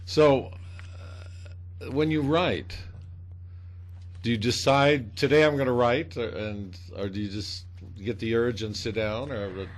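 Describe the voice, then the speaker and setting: A man's voice: medium pitch, fairly even intonation, measured pace, pauses often. A man hosting a casual radio interview, joking and chatty with frequent fillers. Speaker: radio host.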